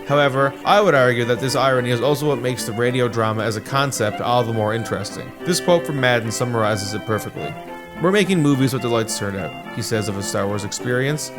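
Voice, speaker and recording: A man hosting and narrating a scripted video essay, with music playing behind his voice. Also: medium pitch.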